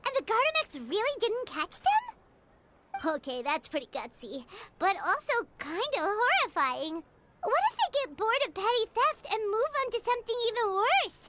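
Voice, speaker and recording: Very high-pitched tiny companion girl, lively and nosy, game audio, noisy background. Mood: alarmed. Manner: chatty, quick to question and exclaim. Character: tiny female floating companion, excitable and nosy. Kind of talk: video game voice acting.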